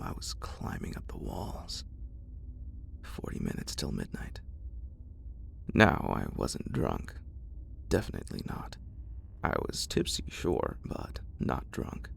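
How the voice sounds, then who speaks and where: A man whispers a horror story in an ASMR style. His voice is breathy, extremely deep and full of crackle, with widely swinging, dramatic intonation. Faint noise sits behind him.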